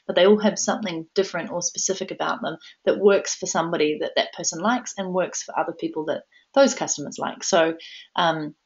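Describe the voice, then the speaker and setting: A woman co-hosting a live webinar Q&A, upbeat and quick to laugh. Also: on the low side. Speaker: webinar co-presenter. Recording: dull lo-fi webinar audio.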